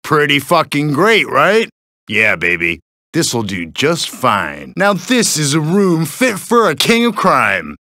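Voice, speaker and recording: Bright, cocky heist boss. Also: male voice, a little high, huge pitch swings, takes it slowly, no room sound, studio quality, full character voice. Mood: proud, pleased. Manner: swaggering, informal. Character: cocky, upbeat male heist gang boss. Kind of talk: scripted video game character lines.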